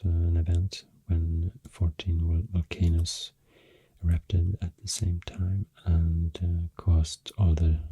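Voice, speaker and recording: Casting: man, Swedish accent, very dark low voice, soft and breathy, slow halting ASMR ramble, close-miked. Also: no echo. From Sweden. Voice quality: deep, soft, breathy, half-whispered. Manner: hesitant, slow, halting, self-correcting. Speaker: ASMR artist. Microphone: close microphone.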